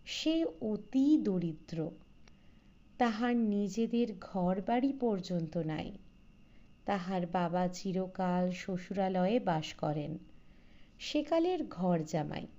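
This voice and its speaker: Slow, bright-voiced woman narrating. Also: medium pitch, animated, lo-fi sound. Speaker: audiobook narrator. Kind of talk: scripted literary story narration.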